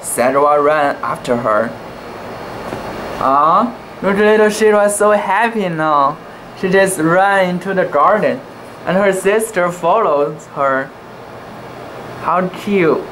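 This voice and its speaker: A young man with a high, androgynous pitch reads a storybook dramatically. He goes slowly and expressively, swinging up and down, often cooing. It is recorded outdoors on a camera with steady noise behind him. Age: young adult. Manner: expressive, cooing, drawn-out words. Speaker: storybook reader. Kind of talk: dramatic children's book reading with asides. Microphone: camera at close range.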